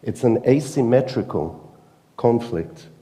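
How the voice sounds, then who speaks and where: A man with a British accent, speaking earnestly and emphatically at a conference panel, pausing often with fillers. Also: mid-range voice, animated, mellow, in a large hall. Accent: British English. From Britain. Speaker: panel speaker, newspaper editor. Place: conference hall.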